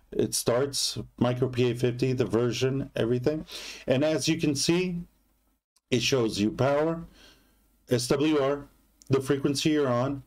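Slow-paced man casually demonstrating gear. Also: mid-range voice, darkish tone. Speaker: product reviewer.

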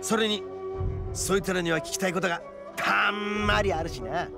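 A man playing a cocky young male oni gang leader in an anime video game, talking roughly and boastfully. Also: high-pitched, steady tone, moderate pace. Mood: confident. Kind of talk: Japanese anime game voice acting. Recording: clear background music and game sounds.

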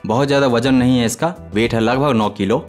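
A man narrating a scripted product review voice-over in a steady, explanatory manner, addressing viewers as friends. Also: medium pitch, brisk. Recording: voice-over with loud background music.

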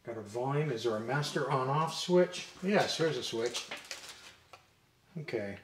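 An older man casually thinking aloud as he demonstrates something hands-on, musing and low-key, picked up by a camera mic indoors. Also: expressive, unhurried. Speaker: vintage robot channel host. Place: indoor room with glass display table. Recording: echoey room, clear noise, handling clicks.

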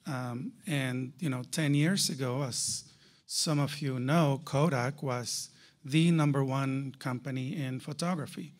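A man's voice: medium pitch, measured pace. A man giving a conference keynote to a live audience, emphatic, with rhetorical questions and repeated key phrases. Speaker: keynote speaker.

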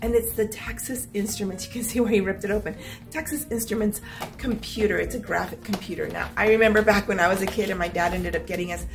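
A middle-aged woman filming a casual unboxing vlog at home, upbeat and chatty, her voice slightly hoarse as if she is losing it. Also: mid-range voice, steady tone, fast, over music. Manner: chatty and upbeat, clears her throat now and then. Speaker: vlogger. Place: small home room with stacked boxes, indoors.

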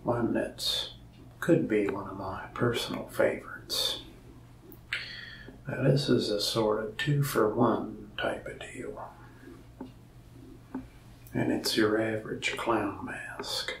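A young man in a soft-spoken ASMR roleplay as a shop salesman, whispering and rambling in a folksy storytelling way. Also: fairly low pitch, fairly even intonation, slow pace, gravelly, pauses often, echoey room, distant mic, background noise.

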